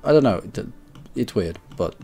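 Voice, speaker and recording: A man with a head cold streaming a strategy game, rambling and correcting himself, often clearing his throat. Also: fairly low pitch, expressive, moderate pace, dark tone, faint music behind. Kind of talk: casual game commentary.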